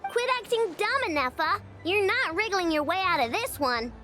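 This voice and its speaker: Game role: tiny indignant girl sidekick, squeaky, extremely high, exclamatory and highly animated. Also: moderate pace. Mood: indignant, accusing. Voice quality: squeaky, childlike. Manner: exclamatory, dramatic. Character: small excitable childlike girl companion, cute and indignant. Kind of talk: video game voice acting. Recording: game music behind the voice.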